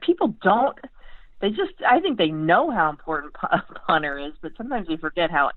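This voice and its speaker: A woman's voice: low-pitched, dry recording. An American woman giving casual sports analysis as an interview guest, backtracking and repeating phrases as she thinks aloud. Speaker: sports beat writer, podcast guest. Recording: narrow phone-like line.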